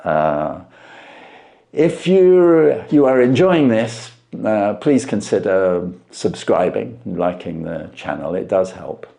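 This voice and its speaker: A man's voice: on the low side, very animated, slow, gravelly, dark tone. A man who reads classic short stories as host, talking casually to his listeners. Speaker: reader and channel host. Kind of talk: classic short story reading and casual sign-off.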